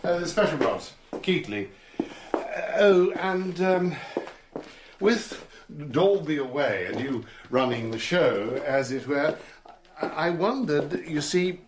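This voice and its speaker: A middle-aged, major in a radio play. His voice is fairly high and swoops about as he blusters in a clubby, patronising way. The recording carries a faint noise. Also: lively intonation, takes it slowly. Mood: hesitant, wheedling. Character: blustering older British army major, patronising and shifty. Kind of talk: radio drama acting.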